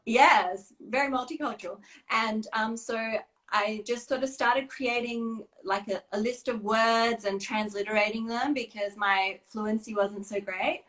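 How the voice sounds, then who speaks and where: A woman's voice: a little high, steady tone, measured pace, fairly bright tone, low-quality recording. A woman talking casually as an interview guest on a live video call, chatty and self-deprecating, with many fillers and restarts. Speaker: guest, children's book creator. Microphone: phone or video call.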